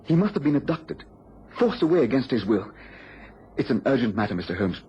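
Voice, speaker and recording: Casting: male actor as fretful, earnest British client in a radio detective play, medium pitch, muffled sound. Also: big pitch swings, fairly quick, lightly acted. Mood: anxious, pleading. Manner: earnest, worried, stammering slightly. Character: anxious inventor's assistant pleading for a detective's help. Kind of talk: radio drama acting. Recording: dull, noisy radio recording.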